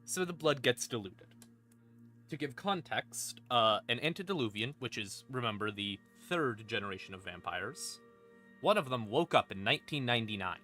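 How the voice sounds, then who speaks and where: Male streamer, forceful bright voice, casual sweary lore explainer with wry asides, eerie ambient music underneath. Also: mid-range voice, lively intonation, normal speed. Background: creepy ambient music.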